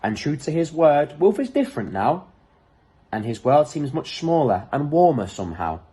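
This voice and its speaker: A man reading a children's picture book aloud in an expressive, dramatic way. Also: fairly high pitch, moderate pace, breathy edge. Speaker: storybook reader.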